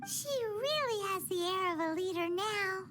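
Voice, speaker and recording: A woman's voice: expressive, normal speed, background noise. A woman voicing a small, chirpy fairy companion who talks about herself in the third person, in video game dialogue. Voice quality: high, squeaky childlike voice. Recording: game dialogue over loud background music.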